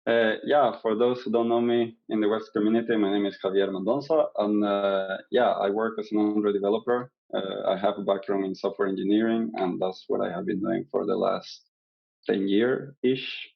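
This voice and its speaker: A man from Venezuela answering interview questions, his voice low and gravelly. He talks haltingly, stacking fillers and restarts. The recording sounds dull and lo-fi. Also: fairly even intonation. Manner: hesitant, many fillers and restarts, laughs lightly. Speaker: interview guest, candidate.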